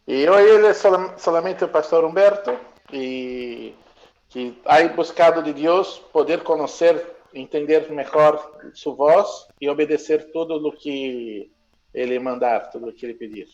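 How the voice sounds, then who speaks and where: A man interpreting in consecutive fashion, mixing two languages with a halting manner. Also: on the high side, a little mellow, lo-fi sound. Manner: mixes Spanish and Portuguese, halting.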